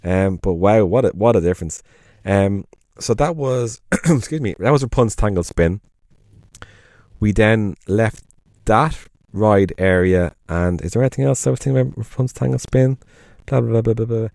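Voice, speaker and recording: A man's voice: on the low side, expressive, normal speed, gravelly, darkish tone, no echo, clean studio sound. An Irish man co-hosting a podcast, chatting casually and enthusiastically, rambling and correcting himself as he goes. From Ireland. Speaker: podcast co-host. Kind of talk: casual chat.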